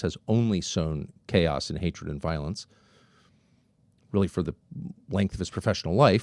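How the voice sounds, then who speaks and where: A man's voice: deep voice, vocal fry, no echo, clean studio sound. A man hosting a political commentary show, talking emphatically with sarcastic asides. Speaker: host.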